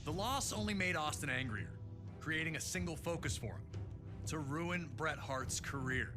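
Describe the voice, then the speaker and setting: A man's voice: on the high side, moderate pace, close to an everyday voice. A man narrating wrestling history in a dramatic, hyped announcer style, reading from a script. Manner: emphatic, hyped announcer delivery. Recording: music bed under the voice. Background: music.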